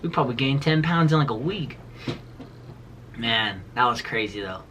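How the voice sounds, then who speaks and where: A man's voice: mid-range voice, animated, normal speed, a bit bright, highs cut off, a little hiss or hum. A man in his twenties telling a childhood story in a casual vlog, rambling with lots of fillers and laughing as he goes. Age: 20s. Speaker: vlogger.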